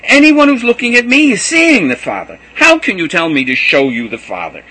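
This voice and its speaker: A man voicing Jesus as a gentle teacher saying farewell to his students, earnest and measured. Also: mid-range voice, lively intonation, breathy edge, exaggerated voice, poor audio. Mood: impassioned. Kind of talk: reading a gospel aloud.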